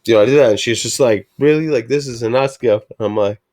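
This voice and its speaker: A man's voice: mid-range voice, quick pace, no echo. A man co-hosting a casual talk show, telling family stories with lots of slang and swearing, and acting out what others said. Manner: slangy, animated, acts out quotes of family. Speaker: podcast co-host. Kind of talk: casual chat with cursing and storytelling.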